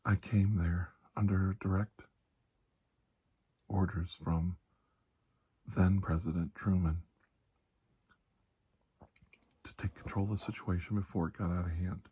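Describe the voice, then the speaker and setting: A man playing a calm, kindly doctor in a soft-spoken ASMR roleplay, reassuring and deliberate, with long pauses and breathy slips into whispering. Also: deep voice. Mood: reminiscing. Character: calm, kindly, ageless male doctor of alien medicine.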